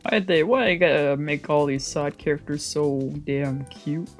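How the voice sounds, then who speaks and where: Game streamer, creaky and unhurried, casual reactive commentary, muttered asides over music. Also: medium pitch. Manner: reactive, exclaiming and muttering to self. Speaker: streamer playing a visual novel. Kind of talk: casual let's play commentary.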